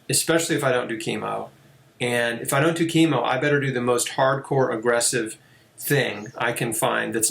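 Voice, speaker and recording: A man hosting an interview, chatty and relaxed, with many fillers. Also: medium pitch, vocal fry. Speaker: podcast host. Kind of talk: conversational interview. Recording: echoey room.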